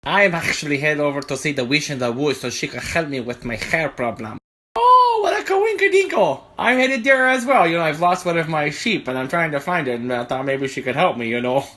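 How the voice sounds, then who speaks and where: A man voicing a goofy, eager, folksy sheep herder in a comic fairy tale, chatty and excitable. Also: fairly high pitch, brisk. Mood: goofy, excited. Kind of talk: comic improvised storytelling.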